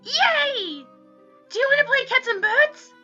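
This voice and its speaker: A very high, lively boy's voice performed by a woman, bold, friendly and childlike, for a lion-like young royal in a fantasy story. The tone is bright and eager. It sits in a dull lo-fi recording with music behind it. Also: a little slow, heavily acted. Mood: playful.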